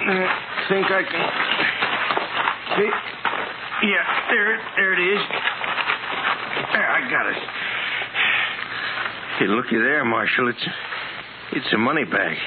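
An actor playing a simple, eager settler in a 1950s radio western, with a rural western American accent and a naive, stammering manner. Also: male voice, fairly high pitch, slow, pauses often, narrow, tinny audio. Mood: excited. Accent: rural American West. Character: simple, eager, broke prairie settler, male. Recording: noisy, clicking old radio recording.

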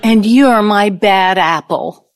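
A woman's voice: medium pitch, slow pace. An actress playing a bitter, incredulous ex-girlfriend, blunt and sardonic, in an audio play. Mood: defensive, wry. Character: bitter, incredulous middle-aged ex-girlfriend, a pianist.

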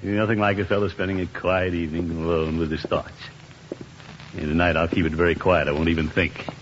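An actor playing a pompous, easily flustered middle-aged uncle in a 1950s radio sitcom, chuckling and muttering to himself with drawn-out exclamations. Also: male voice, low-pitched, flat delivery. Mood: drowsy, self-amused. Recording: dull 1950s radio broadcast, faint noise.

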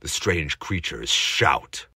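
A man's voice: very deep voice, expressive, slow pace, bright, forceful tone, breathy edge, no room sound, studio quality. A man narrating a scripted audio drama in a dramatic, suspenseful storytelling manner.

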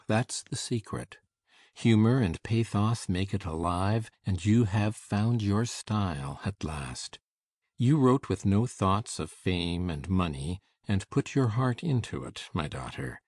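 A wise, proud older father in a classic storybook, played by a man. His voice is deep and husky, and he talks very slowly, with earnest, deliberate affection. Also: lively intonation, a little mellow, dry recording, studio quality. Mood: warmly proud. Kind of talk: dramatized audiobook character reading.

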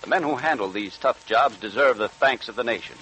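A man's voice: medium pitch, fairly quick, pushed and bright, a little hiss or hum, low-quality recording. A man reading a scripted sponsor message as a 1940s radio announcer.

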